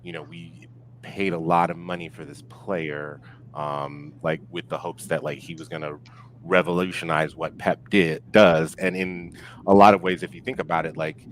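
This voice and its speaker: A man with a very deep voice talks sport casually as a podcast guest. He is halting and reflective, often circling back to rephrase. A little background noise sits under his voice. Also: flat delivery. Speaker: podcast guest discussing football.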